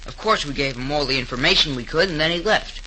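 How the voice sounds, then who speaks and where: An actor playing an earnest, eager young store helper in the manner of 1930s radio drama. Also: male voice, on the high side, fast talker, sharp tone, rough recording. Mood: earnest narrating. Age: young adult. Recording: hissy 1930s radio recording.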